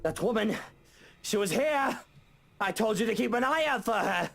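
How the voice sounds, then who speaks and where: A man's voice: sits high, expressive, normal speed, gravelly, fairly bright tone, full character voice. An actor playing a panicky, irritable, foul-mouthed young man in a visual novel. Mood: frantic, angry.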